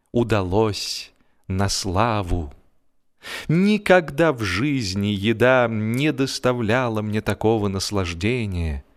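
Theatrical male narrator of comic prose. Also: on the low side. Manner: theatrical, mock-solemn. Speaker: audiobook narrator. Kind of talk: expressive comic literary narration.

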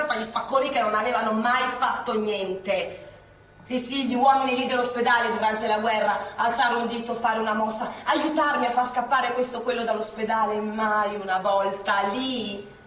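A woman playing a feisty, plain-spoken wartime Resistance woman on stage, animated and emphatic. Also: a little high, natural voice. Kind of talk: live theatre monologue and dialogue. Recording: echoey theatre, distant mic, faint noise.

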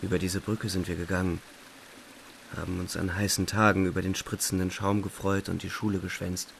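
An actor playing a weary, haunted young soldier, narrating a radio play in brooding, restrained short sentences. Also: male voice, low-pitched, little pitch movement, brisk, vocal fry, slight background noise. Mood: wistful. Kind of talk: literary radio play narration, inner monologue.

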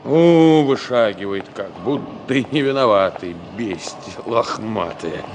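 Gruff fatherly senior engineer, noisy recording. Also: on the low side, animated, a little slow. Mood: stern, sarcastic. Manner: folksy, ironic, chiding with rhetorical questions. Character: gruff, fatherly older male senior engineer, scolding and teasing. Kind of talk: Soviet radio drama acting. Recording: noisy 1970 radio play recording.

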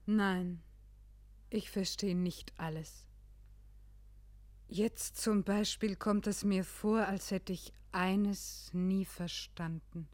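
An actress in a German radio play, playing a wistful schoolteacher who is no longer young, hesitant and dreamy in manner. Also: female voice, medium pitch, steady tone, takes it slowly, darkish tone, dry recording, natural voice.

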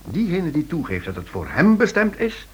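An actor playing a shrewd, reluctant amateur sleuth in a radio play, reasoning in a wry, measured manner. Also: male voice, on the high side, huge pitch swings, darkish tone, lo-fi sound, slight background noise. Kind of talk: Dutch-language radio play acting.

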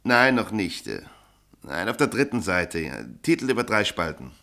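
A harried newspaper editor in a radio drama. His voice sits fairly low and swings widely in pitch. He is dry, brusque and sardonic, curtly impatient with everyone. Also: big pitch swings, normal speed, bright, forceful tone. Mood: busy, impatient. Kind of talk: radio play acting.

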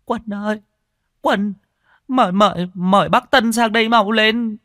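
A man's voice: high-pitched, steady tone, a little slow, pushed and bright, no echo, studio quality, voice pushed up. A man voicing a distraught older village woman who sobs and wails as she calls for help. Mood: sobbing panic. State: sobbing.

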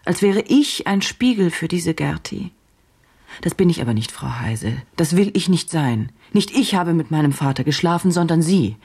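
An actress playing a driven, troubled journalist in a German radio drama, speaking with brooding, indignant intensity and deliberate phrasing. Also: female voice, lowish voice, animated, darkish tone, breathy edge. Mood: indignant, hurt. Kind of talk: German radio drama, dialogue and inner monologue.

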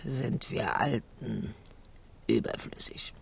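Eine Schauspielerin spielt im Hörspiel eine bittere, spöttische alte Königinmutter, brüchig, stockend und bissig. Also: female voice, rumbling low, creaky, slightly rough, heavily acted. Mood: bitter, mocking. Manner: halting, sardonic, biting. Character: bitter, mocking old queen mother, stooped on a cane. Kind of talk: radio drama acting.

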